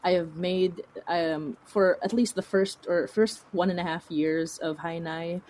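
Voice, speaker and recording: A woman with a distinctly low-pitched voice speaks as a guest in a relaxed interview. She is chatty and informal, working out her thoughts aloud as she talks. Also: a little slow. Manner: chatty, informal, laughs while talking, thinks aloud. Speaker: interview guest, fiction podcast creator. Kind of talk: casual conversational interview.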